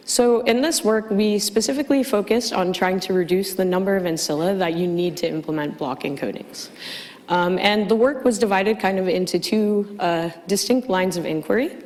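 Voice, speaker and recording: A woman giving an academic conference talk, a little hoarse from losing her voice, speaking with many ums and uhs. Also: mid-range voice, a little mellow, big-room sound. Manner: hesitant, frequent ums and uhs. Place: lecture hall.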